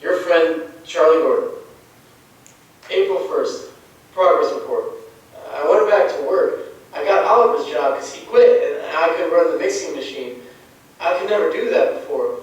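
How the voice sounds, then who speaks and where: A man's voice: a little high, lively intonation, moderate pace, pauses often, close to an everyday voice. A man acting as an earnest, childlike, simple-minded young man with naive, ungrammatical speech in an amateur student play. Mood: earnest reporting. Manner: naive, plain-spoken. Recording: echoey stage, distant mic, faint hiss.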